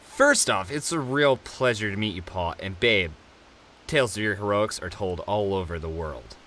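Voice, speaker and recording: An earnest, dreamy frontiersman, voiced by a man in an audio drama. He is polite, with a fairly low but animated voice over a faint hiss. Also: moderate pace. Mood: admiring.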